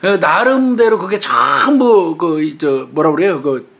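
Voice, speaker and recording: Commentator, Chungcheong Korean accent, relaxed conversational delivery. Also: mid-range voice, a little slow. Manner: conversational, with frequent fillers and murmured agreement. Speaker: crime commentator. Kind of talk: casual true-crime discussion.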